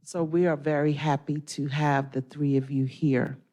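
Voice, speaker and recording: A woman counselor facilitating a panel discussion, measured and organized as she introduces the topic and guests. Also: deep voice, level tone, dark tone. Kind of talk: formal panel moderation.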